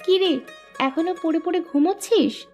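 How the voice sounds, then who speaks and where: A woman playing a bossy, teasing young woman in an animated story, with music in the background. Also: very high-pitched, moderate pace. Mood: casual, bossy. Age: young adult. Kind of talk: Bengali animated story dialogue.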